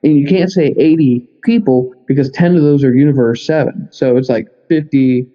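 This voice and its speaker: A man with a mid-range voice chats as a podcast guest, working through his thoughts out loud in a halting way. The sound is slightly dull and lo-fi, as from a computer mic on a remote call. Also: mid-range voice. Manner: halting, thinking aloud as they go. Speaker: podcast guest, anime fan expert. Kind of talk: casual podcast discussion. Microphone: computer mic, remote recording.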